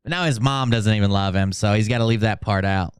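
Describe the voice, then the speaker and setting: A man's voice: lowish voice, fast, clean tone, no echo. Male livestream host, casual and mocking commentary, swears freely. Clean resonant tone, very dry sound. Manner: sarcastic, profane. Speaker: channel host doing mocking dramatic readings.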